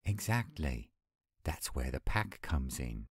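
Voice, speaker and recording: A man acting an earnest young werewolf pack leader in a roleplay audio drama, speaking in a measured way. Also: rumbling low, very animated, breathy edge, dry recording, studio quality, heavily acted. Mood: earnest, hopeful.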